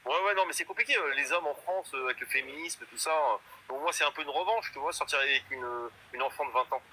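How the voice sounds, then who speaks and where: A man's voice: on the high side, fast, bright, forceful tone, a little hiss or hum, poor audio. A man in his fifties in a casual live chat, boastful and rambling, full of hesitations. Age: 50s. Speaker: guest in a live stream chat.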